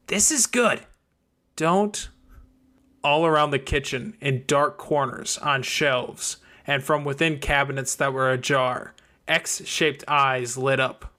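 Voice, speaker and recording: Bright-voiced man narrating fiction. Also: mid-range voice. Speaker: audiobook reader.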